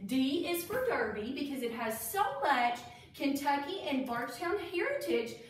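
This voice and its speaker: A woman with a high, very lively voice reads aloud to children in an expressive way, pausing for little explanations. She is recorded away from the mic in a very echoey room. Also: distant mic, a little hiss or hum. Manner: animated, expressive, addressing children. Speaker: children's story-time reader, educator.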